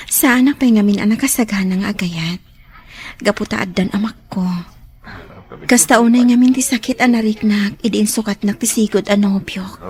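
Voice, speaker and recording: A woman's voice: mid-range voice, a little mellow, natural voice. A woman with an Ilocano accent playing an earnest young woman wounded by love in a radio drama.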